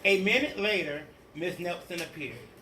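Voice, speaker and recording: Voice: mid-range voice, normal speed, bright, forceful tone. A storybook reader doing a theatrical, emphatic read-aloud of a children's picture book. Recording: echoey room, distant mic, faint noise.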